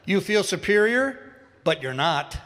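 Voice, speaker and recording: A man recites short scripted statements slowly and deliberately. His voice sits on the higher side for a man and carries long reverb from a large hall. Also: very animated, fairly bright tone. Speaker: reader of short personal statements.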